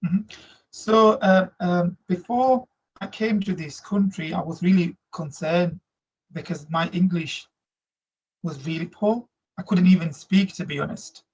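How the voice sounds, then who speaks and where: A man in his thirties from Slovakia with a Slovak accent, answering interview questions earnestly in halting English. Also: high-pitched, a little mellow, slightly rough. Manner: earnest, reflective, halting non-native grammar. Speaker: guest, migrant workers support officer. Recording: dull lo-fi audio.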